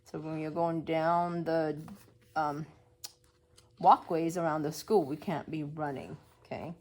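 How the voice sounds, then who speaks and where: A woman's voice: sits low, fairly even intonation, unhurried, darkish tone. A woman preschool teacher reading a story aloud to young children, explaining as she goes and asking simple questions.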